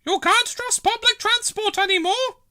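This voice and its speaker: Storybook village busybody, played by a man: female, very high falsetto, shrill, grumbling, flustered, bright. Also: normal speed, no room sound, studio quality, full character voice.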